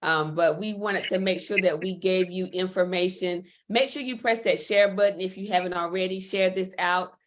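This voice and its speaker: A woman's voice: on the low side, steady tone, moderate pace, hardly any pauses. A woman hosting a livestream interview in an upbeat, encouraging way. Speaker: host.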